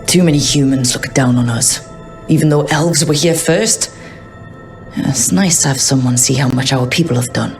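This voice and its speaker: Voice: medium pitch, normal speed, gravelly, soft background music, close to an everyday voice. A voice actor playing a young elf adventurer and former rogue who talks in a wry, sarcastic, joking way. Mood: reflective.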